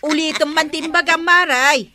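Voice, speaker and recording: A woman's voice: high voice, steady tone, moderate pace, heavy background noise, natural voice. A woman with an Ilocano accent playing a no-nonsense village shopkeeper in a radio comedy drama. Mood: firm, exasperated.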